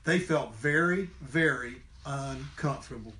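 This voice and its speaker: A man's voice: mid-range voice, unhurried, sharp tone. A man reading a children's story aloud expressively, like a teacher to young listeners. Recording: roomy indoor echo.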